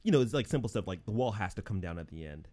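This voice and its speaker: A man's voice: lowish voice, lively intonation, racing, mellow. A young man chatting casually about an episode in a laid-back, easygoing way. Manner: laid-back, says dude a lot. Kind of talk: casual review chat.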